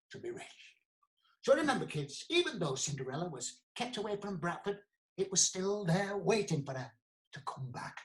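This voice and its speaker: A man's voice: sits high, bright, forceful tone, heavily acted, low-quality recording. A man playing a cheery pantomime entertainer, telling a playful fairy tale in a radio comedy. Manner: playful, addressing children and audience. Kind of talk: storytelling in a radio comedy drama.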